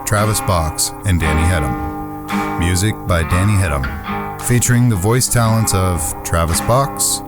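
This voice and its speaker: A man delivers scripted closing credits. He works as the announcer, speaking in a low register while loud music plays behind him. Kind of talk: scripted narration.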